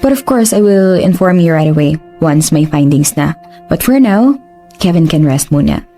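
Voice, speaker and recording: A low, mellow female voice plays as a woman plays a composed doctor questioning a patient. She is polite and reassuring, switching easily between English and Tagalog. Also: animated, moderate pace, faint music behind. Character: calm, professional female doctor.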